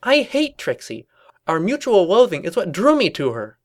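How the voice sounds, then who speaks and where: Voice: medium pitch, huge pitch swings, moderate pace, voice pushed up. A performer voicing an indignant young female cartoon pony revolutionary, declaiming and snapping defensively in a story reading. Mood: indignant, snappish.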